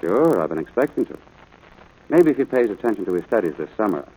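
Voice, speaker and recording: An actor playing a stern, worn-out rancher father, gruff but caring, in 1940s radio drama style. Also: fairly low pitch, sing-song, fast, mellow. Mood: weary, gruff. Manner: gruff, exasperated. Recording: noisy old radio broadcast.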